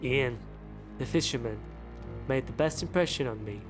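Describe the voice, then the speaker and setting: A man plays a young woman monster hunter in a fantasy game, blunt and inquisitive. The delivery is measured and questioning at a middle pitch, over music and rain. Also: lightly acted. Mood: earnest. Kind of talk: reading game dialogue aloud.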